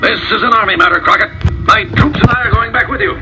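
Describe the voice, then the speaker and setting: A man's voice: high voice, quick pace, background noise, close to an everyday voice. A man playing a firm army general. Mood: stern. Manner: firm, authoritative. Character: commanding army general, middle-aged man. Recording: voice over music. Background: background music.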